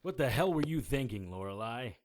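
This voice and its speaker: An actor playing a hard-boiled, sardonic occult investigator in a noir audio drama, blunt and world-weary. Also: male voice, medium pitch, animated, a little fast, heavy background noise, natural voice. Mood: scornful, exasperated.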